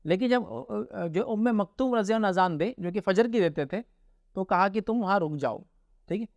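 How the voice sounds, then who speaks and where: A man's voice: high voice, brisk, creaky, darkish tone, no room sound, lo-fi sound. A man with an Indian accent answering religious questions informally as a scholar, explaining and often checking that listeners follow.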